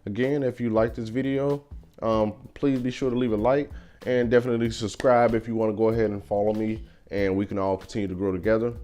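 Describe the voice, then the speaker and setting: A young man talking through his opinions in a casual vlog, thoughtful and measured, with frequent fillers. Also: lowish voice, flat delivery, dark tone, soft background music. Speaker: vlogger. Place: car.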